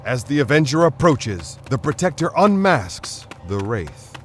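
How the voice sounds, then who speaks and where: A man's voice: mid-range voice, very animated, unhurried, a little hiss or hum. A man narrating a scripted drama in the dramatic style of a 1940s radio serial. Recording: music behind narration.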